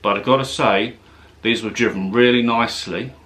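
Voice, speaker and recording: A man's voice: medium pitch, normal speed, fairly bright tone, poor audio. A man giving a casual, informal product review as a tech reviewer, speaking in a somewhat hesitant, unscripted way.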